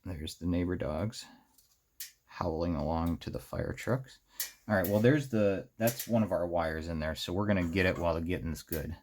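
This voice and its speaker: American male how-to host, low register, unscripted and rambling, half talking to himself as he works. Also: a little mellow. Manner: halting, rambling, talks to himself while working. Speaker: host of a hobby electronics how-to series. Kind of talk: casual unscripted hands-on tutorial, thinking aloud.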